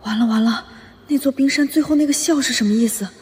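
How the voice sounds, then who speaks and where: An actress playing a flustered, cheeky young office worker in a dubbed animated romance, with comically exaggerated exclamations. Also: female voice, sits high, fast talker, breathy edge. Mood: panicked.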